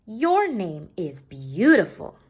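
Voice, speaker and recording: Reassuring storybook mother, voiced by a woman reader: doting, emphatic, big pitch swings. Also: huge pitch swings, slow pace, slightly rough, full character voice.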